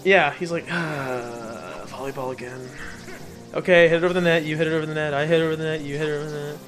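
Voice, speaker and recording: A man's voice: on the high side, steady tone, fast, fairly bright tone. A man hosting a let's play with casual, rambling, jokey and self-deprecating commentary over game music. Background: video game music.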